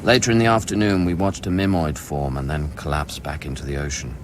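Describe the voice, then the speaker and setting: Radio play lead: brooding, haunted scientist, extremely deep voice, measured and intimate. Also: male voice, level tone, noisy background. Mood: haunted, reflective. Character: brooding, haunted man of science, tender yet irritable. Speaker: lead actor and first-person narrator. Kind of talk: radio play, intimate literary narration and dialogue.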